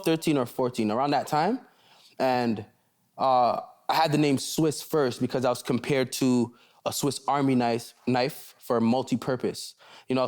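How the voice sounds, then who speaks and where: Laid-back young Canadian rapper. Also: male voice, medium pitch, a little mellow. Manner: laid-back, loose, repeats "you see what I'm saying". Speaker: rapper guest. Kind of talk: casual interview chat.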